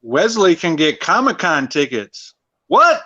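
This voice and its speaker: A man's voice: on the high side, wildly swooping pitch, unhurried, no echo. Profane teasing man on lo-fi audio. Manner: loud, profane, teasing banter. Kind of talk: casual chat.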